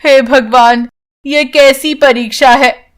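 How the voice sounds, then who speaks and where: A woman's voice: sits high, fairly even intonation, slow. A woman voicing a devout, caring old village grandmother in a storybook tale, anxious for her family, fretful and tender. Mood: worried.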